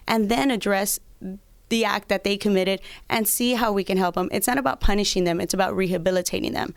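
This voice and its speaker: A woman's voice: medium pitch, clear, resonant tone, no room sound. A woman in her thirties from south Texas, answering interview questions as a lawyer and candidate. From south Texas, United States. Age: 30s.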